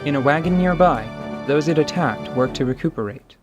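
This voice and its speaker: A man giving scripted dramatic narration for a tabletop role-playing audio drama, in a measured, literary storytelling manner. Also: a little high, expressive, over music.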